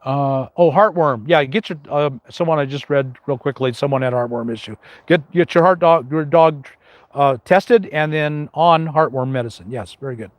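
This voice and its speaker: A man's voice: a little high, normal speed, dry recording. Older man with a big carrying voice. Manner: rambling, with many restarts and fillers. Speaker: host answering viewer questions on a live stream. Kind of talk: casual live Q&A.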